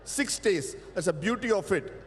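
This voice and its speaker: A man whose first language is Tamil speaks in a high, carrying voice on a festival panel. He talks with emphasis, poses rhetorical questions and stretches out key words. The sound rings with a long hall reverb. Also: expressive, normal speed. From India. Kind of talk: panel talk.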